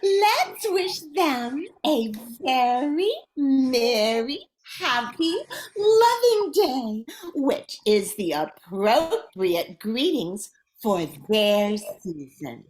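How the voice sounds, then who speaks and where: A woman's voice: high voice, big pitch swings, extremely slow, a little mellow, a little hiss or hum, full character voice, poor audio. A woman playing a giddy, sweet, gushing female reindeer in an amateur Christmas radio play. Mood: gushing, delighted. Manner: gushing, giggly, sing-song delivery.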